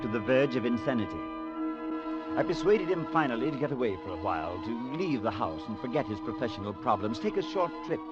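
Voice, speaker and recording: An actor playing a composed, skeptical priest who narrates and speaks in a vintage radio horror drama, measured and articulate. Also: male voice, fairly low pitch, close to an everyday voice. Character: composed, skeptical clergyman, Father, narrating a ghost tale. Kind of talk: old-time radio drama narration and dialogue. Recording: muffled vintage radio recording with music.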